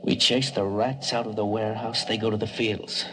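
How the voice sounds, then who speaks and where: A man playing a steady, observant colonist in a noisy mid-century radio drama, speaking in a measured way. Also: lowish voice, lightly acted. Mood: wary.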